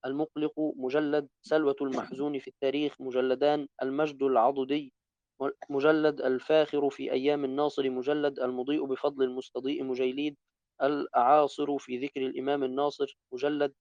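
A man reading a classical Arabic text aloud to a teacher in a steady, even recitation, correcting his own slips as he goes. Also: mid-range voice, brisk, no room sound, highs cut off.

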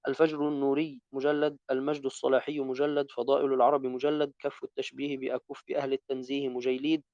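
A man reading a classical Arabic text aloud to a teacher in a steady, even recitation, correcting his own slips as he goes. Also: mid-range voice, no room sound, highs cut off.

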